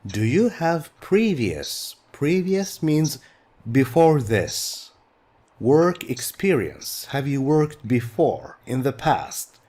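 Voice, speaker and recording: A man's voice: mid-range voice, noisy background. A middle-aged man whose first language is Arabic, teaching an English textbook lesson patiently and repeating key words.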